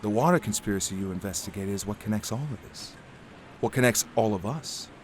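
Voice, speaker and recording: An actor playing a serious, worried investigator with clipped hard-boiled delivery in a noir audio drama. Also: male voice, fairly low pitch, fairly quick, slight background noise, close to an everyday voice. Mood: grave, probing. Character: earnest, worried male investigator in noir moon city.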